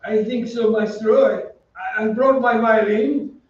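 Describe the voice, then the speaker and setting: A man's voice: very high-pitched, steady tone, a little slow. A man playing a timid, anxious aging violinist in a radio drama, hesitant, deferential and apologetic in his speech. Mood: meek. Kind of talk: old-time radio drama acting. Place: theatre stage, live reading. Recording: echoey stage, distant mic, muffled, hiss.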